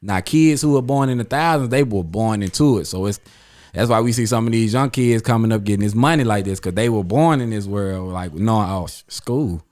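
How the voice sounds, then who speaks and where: A man hosts a relaxed chat among friends. He talks in loose, rambling stretches full of slang and fillers. His voice sits on the low side. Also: fairly quick. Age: 30s. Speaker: podcast host.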